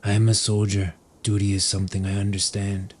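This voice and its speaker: A man voicing a devoted, soft-spoken soldier in an audio drama. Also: on the low side, completely flat delivery, fairly quick, a little hiss or hum, natural voice. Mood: tender, resigned. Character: devoted soldier Ledros, gentle and dutiful.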